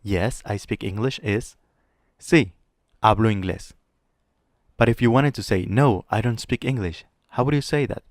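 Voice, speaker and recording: A man teaching a Spanish lesson, modeling phrases clearly and slowly for the listener to repeat. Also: fairly low pitch, dry recording, studio quality. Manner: clear, slow model pronunciation with pauses to repeat. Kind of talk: language lesson with drills.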